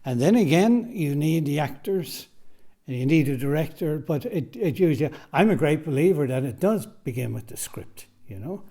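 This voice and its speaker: A man's voice: fairly high pitch, animated, normal speed, mellow. A man, a radio drama director, answering interview questions earnestly in a recording studio.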